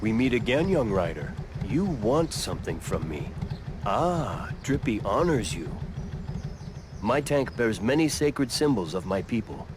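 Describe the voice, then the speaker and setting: A man's voice: mid-range voice, very animated, measured pace, noisy background. An actor playing a solemn, mystical male biker guru in a game, with grave, ceremonious phrasing.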